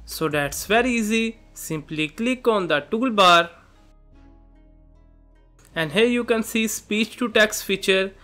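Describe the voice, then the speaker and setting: A man presenting a software tutorial, narrating step by step in simple instructional phrases. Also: high-pitched, slow, pauses often. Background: background music.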